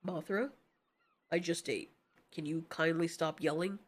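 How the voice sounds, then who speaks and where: A woman voicing a callous male giant reptilian monster in an audio drama, deadpan, dismissive and sarcastic. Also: sits low, a little slow, breathy edge. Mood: unbothered, dry. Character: cocky, callous male giant reptilian kaiju, coolly menacing.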